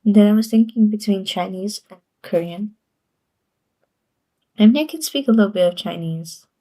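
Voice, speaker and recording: A woman's voice: medium pitch, moderate pace, mellow. A woman streamer chatting casually on a livestream, rambling and giggling often between sentences.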